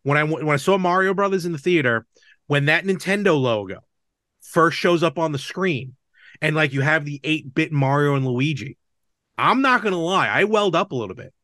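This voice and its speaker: Guy podcast host, bit high voice, super dry sound, chatting casually n explaining stuff. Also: expressive, moderate pace, bright, forceful tone, no room sound. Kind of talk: casual chat about movies and games.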